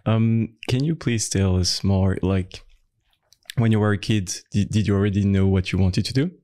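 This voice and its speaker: A man's voice: fairly low pitch, steady tone, normal speed, mellow, no room sound, clean studio sound. A man hosting a podcast interview, asking short, friendly questions and prompting his guest. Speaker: podcast host.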